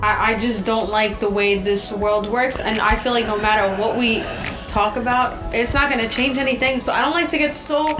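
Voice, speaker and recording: A woman's voice: a little high, steady tone, moderate pace, music in the background. A woman from Egypt talking in a casual podcast chat, passionate and rambling, leaning on "like" and "right?". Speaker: guest.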